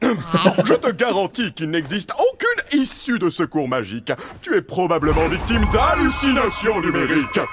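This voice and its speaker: An actor playing a manic, theatrical circus ringmaster in a French cartoon dub, with grandiose delivery. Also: male voice, high-pitched, wildly swooping pitch, moderate pace, hardly any pauses, a bit bright, exaggerated voice. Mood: falsely reassuring. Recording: music behind the voice.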